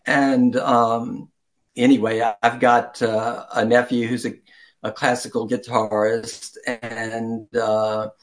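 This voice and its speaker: A man's voice: medium pitch, level tone, slow. This is an older man with a mid-range voice, chatting as an interview guest. He talks in a rambling, self-effacing way and tends to chuckle as he explains himself. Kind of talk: conversational interview answers.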